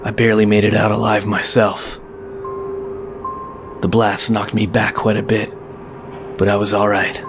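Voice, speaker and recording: Man playing a low, flat, brooding vigilante. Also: moderate pace, pauses often, over music, close to an everyday voice. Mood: guarded. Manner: grim, clipped, guarded. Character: brooding, terse masked male vigilante detective. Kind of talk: audio drama acting and narration.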